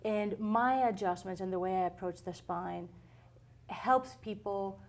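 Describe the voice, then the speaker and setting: Woman, forties, from Puerto Rico, calm earnest interview guest, mid pitch. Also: measured pace. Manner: calm, earnest, explanatory with frequent "mm-hmm". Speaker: chiropractor guest. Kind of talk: interview answers.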